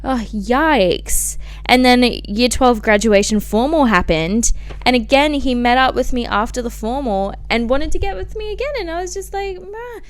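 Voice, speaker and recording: A woman's voice: fairly high pitch, lively intonation, moderate pace, clear, resonant tone. A woman in her twenties with an Australian accent, telling personal stories in a candid, casual podcast style full of "like" and informal slang. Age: 20s. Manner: candid, informal, liberal filler "like" and swearing. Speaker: podcast host. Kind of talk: casual chatty solo podcast storytelling.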